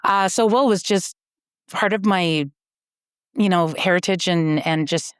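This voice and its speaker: A woman's voice: on the low side, unhurried, clear, resonant tone, dry recording, clean studio sound. A woman from Canada, a company founder, explaining her work earnestly in a conversational interview, with frequent fillers and restarts.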